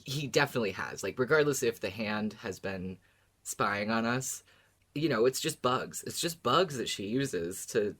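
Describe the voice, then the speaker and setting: A podcast panelist with a mid-range voice chats in a relaxed, conversational style. The delivery is wry and lightly joking, as in talk among fellow fans. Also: mid-range voice. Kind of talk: casual chat discussion.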